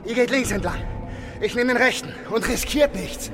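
A man's voice: very high voice, normal speed, music in the background. An actor playing an authoritative, embittered male police commissioner in a German radio drama. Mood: commanding. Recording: radio drama with loud ambient vehicle noise.

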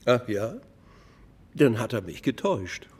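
Husky, skeptical local man. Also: medium pitch, animated, unhurried, darkish tone. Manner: challenging, blunt. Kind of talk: German radio drama acting.

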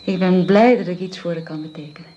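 An actress playing a modest, earnest twenty-one-year-old nanny in a Dutch radio drama, speaking politely and carefully. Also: female voice, fairly low pitch, quick pace, dark tone, muffled recording, close to an everyday voice. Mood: modest, pleased. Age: young adult. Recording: faintly hissy old radio recording.

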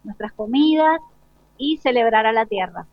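A woman's voice: on the high side, lively intonation, moderate pace, dry recording. A woman with an Argentine accent speaking spontaneously as an interviewed school director. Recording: dull, lo-fi live broadcast sound.

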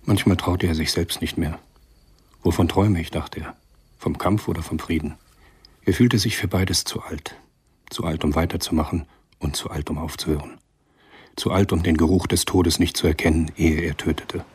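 A man narrating a radio drama from a script in a measured, sombre, husky voice. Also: low-pitched, steady tone, a little mellow.

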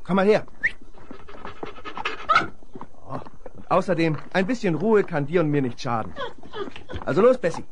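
A man's voice: fairly high pitch, very animated, fairly quick. A male actor playing a plucky, friendly young rancher's son in a 1970s western radio play, familiar and assured. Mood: gentle, chatty. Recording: noisy vinyl radio play with animal sound effects.

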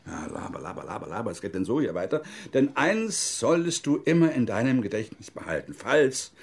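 An older man, an actor playing an embittered, stiff old army major in a radio play, with deliberate, biting delivery. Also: medium pitch, a little mellow, husky, exaggerated voice. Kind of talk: radio drama dialogue, letter reading.

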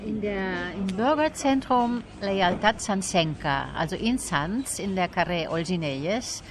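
A woman's voice: medium pitch, expressive, a little slow, background noise. A woman guest in a radio interview, explaining things in a thoughtful, detailed way. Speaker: interview guest, literary event organiser.

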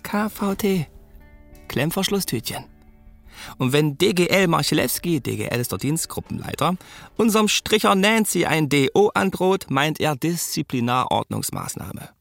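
A man's voice: fairly high pitch, very animated, normal speed, vocal fry, a bit bright, soft background music, heavily acted. An actor playing a wry, streetwise male narrator, telling a police radio drama in colloquial, laconic, sardonic style.

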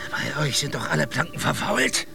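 A man's voice: a little high, very animated, normal speed, vocal fry, music in the background, full character voice. A man playing a furious, bitter and defiant mutinous ship's carpenter in a radio drama.

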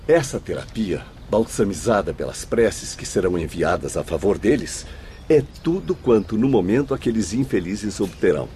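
An actor playing a caring, serene spirit official in a Brazilian radio drama, explaining things formally and deliberately. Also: male voice, on the low side, lively intonation, mellow, slight background noise, natural voice. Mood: compassionate. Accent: Brazilian Portuguese.

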